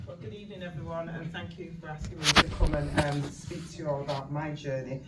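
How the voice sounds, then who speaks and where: A woman's voice: sits low, fairly even intonation, a little fast, heavy background noise. A woman in her fifties with a Mancunian accent, speaking as a guest at an event. She tells her story in a chatty, humorous way and laughs often. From Manchester, England. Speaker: guest speaker, community activist. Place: event venue with an audience. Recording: echoey room, distant mic, ambience.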